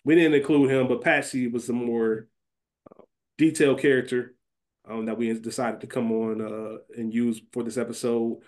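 A man's voice: medium pitch, flat delivery, moderate pace, darkish tone. A man co-hosting a podcast, chatting informally and casually with slang. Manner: informal, slangy, with some swearing. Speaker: podcast co-host. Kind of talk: casual chat; fantasy draft discussion of a TV show.

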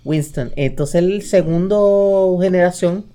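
A woman's voice: lowish voice, takes it slowly, dark tone. A Puerto Rican woman co-hosting a podcast, telling stories casually and mixing in English phrases. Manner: storytelling, mixes in English phrases. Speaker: podcast co-host. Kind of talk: casual chat reviewing TV shows.

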